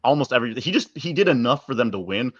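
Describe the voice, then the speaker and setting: A man's voice: medium pitch, animated, fast, highs cut off, a crowd in the background. A man co-hosting a sports podcast, chatting casually with emphatic opinions and some swearing.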